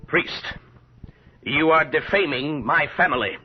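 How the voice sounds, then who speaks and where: An actor playing a haughty, hot-tempered prince in a radio horror drama, with an imperious, declamatory delivery. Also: male voice, fairly high pitch, measured pace, breathy edge. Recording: muffled, noisy old radio recording.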